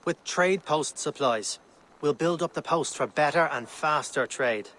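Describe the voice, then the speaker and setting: An actor playing a brisk, approving trading post worker in a video game, with a hearty, businesslike manner. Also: male voice, on the high side, fairly bright tone, slight background noise. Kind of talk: video game character dialogue.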